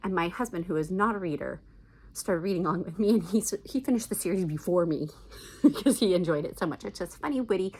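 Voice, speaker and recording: A woman's voice: fairly low pitch, expressive, fast talker, a little mellow, slightly rough. A middle-aged woman giving casual, chatty recommendations as a book vlogger, with an enthusiastic, emphatic manner.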